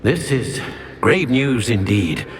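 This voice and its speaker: An actor playing a solemn, wise older wizard mentor in a video game, with grave, stately formality. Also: male voice, medium pitch, expressive, takes it slowly, faint music behind. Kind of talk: video game character dialogue.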